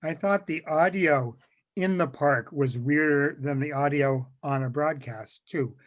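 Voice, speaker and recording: Male panelist, slightly high-pitched voice, rambling casual recollections over a video call. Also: measured pace. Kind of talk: casual anecdotal chat.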